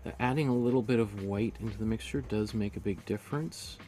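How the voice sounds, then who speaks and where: Relaxed man teaching painting live. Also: on the low side, brisk. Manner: relaxed, thinks aloud while painting, friendly to viewers. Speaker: painting instructor. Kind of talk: live-streamed painting lesson.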